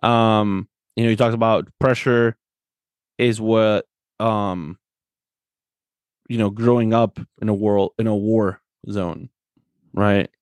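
A man's voice: lowish voice, fairly even intonation, takes it slowly, long pauses, a little mellow, dry recording. A man in a casual sports discussion, co-hosting as a coach and often checking in for agreement. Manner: conversational, often seeks agreement with tag questions. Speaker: podcast host and soccer coach.

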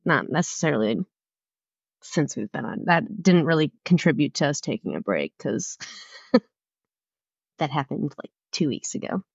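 A woman's voice: low voice, very animated, moderate pace, gravelly, long pauses, breathy edge, no echo. A young woman in her twenties co-hosting a casual chat podcast, a bit nasal from a cold, giggling and rambling with lots of "like"s. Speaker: podcast co-host. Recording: slightly muffled lo-fi audio.

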